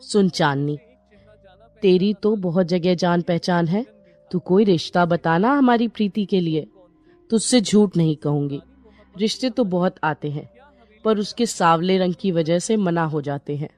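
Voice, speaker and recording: Woman as low-voiced, worried cartoon mother. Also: a little slow, a little mellow, faint music behind, natural voice. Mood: worried, earnest. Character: worried, homely mother seeking a match for her daughter. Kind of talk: animated Hindi moral story dialogue.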